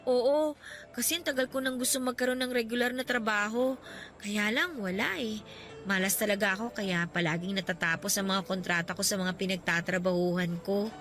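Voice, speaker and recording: An actress playing a shy, self-doubting young woman contract worker in a radio drama, speaking softly and hesitantly. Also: medium pitch, a little fast, faint music behind, lightly acted. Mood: warming. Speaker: Karen.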